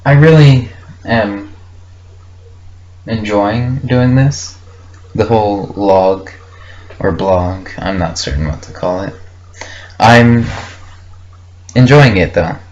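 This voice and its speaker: Low-voiced young man rambling, muffled recording. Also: slow, pauses often, darkish tone. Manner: hesitant, reflective, thinking aloud in short fragments. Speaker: vlogger recording a personal audio log. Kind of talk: casual unscripted rambling monologue. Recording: muffled lo-fi with faint background noise.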